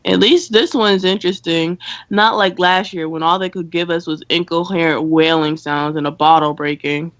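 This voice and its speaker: Low-voiced dry teenage vampire girl played by a woman. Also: steady tone, normal speed, dry recording, highs cut off, lightly acted. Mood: deadpan. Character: teenage vampire girl, sensible, dry school radio co-host. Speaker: student radio host. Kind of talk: amateur radio play, mock school broadcast.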